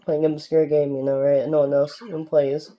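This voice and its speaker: A young man from Canada giving rambling, casual gameplay commentary with a sore, strained throat, recorded on a phone filming a TV in a dark room at home. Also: a little high, little pitch movement, a little fast, highs cut off. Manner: rambling, keeps asking "alright?". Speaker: gaming YouTuber.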